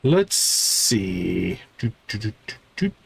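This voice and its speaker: Animated man livestreaming a game. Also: fairly low pitch, slow, vocal fry, a little hiss or hum. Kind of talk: casual live gameplay commentary.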